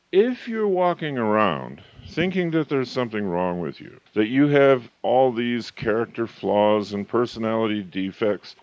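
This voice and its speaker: A man in his fifties giving an emphatic motivational talk, slow and deliberate, with rhetorical questions. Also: fairly low pitch, dry recording. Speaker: motivational author and speaker. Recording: dull, lo-fi dry recording.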